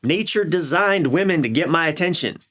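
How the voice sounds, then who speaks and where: A middle-aged American man explaining his views in a candid interview, halting and repeating phrases for emphasis. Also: a little high, animated, a bit bright. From United States. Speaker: relationship coach, podcast guest. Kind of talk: reflective conversational interview explanation.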